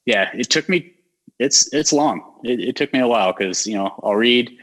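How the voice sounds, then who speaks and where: A man hosting a local talk show, speaking in a relaxed, rambling way with many fillers and restarts and a neutral American accent. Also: medium pitch, moderate pace, low-quality recording. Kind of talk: casual interview chat.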